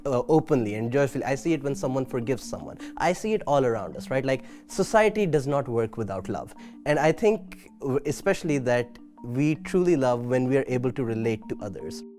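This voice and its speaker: A man's voice: mid-range voice, darkish tone. A man speaking earnestly in a group discussion, with repeated, emphatic phrasing and frequent fillers. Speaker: student debater.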